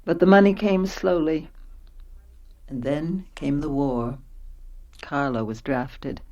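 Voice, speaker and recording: A woman's voice: rumbling low, a little mellow, muffled recording. A woman acting a self-possessed wife who narrates her past in a radio play. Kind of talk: dramatic scripted first-person narration.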